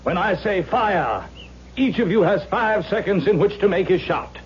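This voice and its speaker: A man's voice: sits high, animated, moderate pace. An actor playing a male film actor in a 1970s radio drama. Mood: formal, solemn. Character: weary, wisecracking male film actor, soon alarmed. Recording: muffled, hissy old radio recording.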